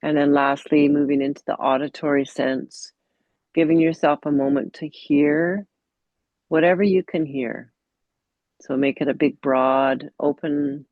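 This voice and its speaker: A woman meditation teacher leading a guided mindfulness practice in a gentle, unhurried way, pausing between short phrases, on dull, muffled audio. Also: deep voice, no echo.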